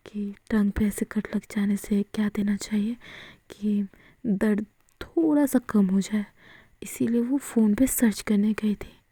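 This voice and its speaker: Slow, husky whispering woman storyteller. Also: mid-range voice, no room sound. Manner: soft whisper, slow, with frequent fillers. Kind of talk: whispered Hinglish story narration.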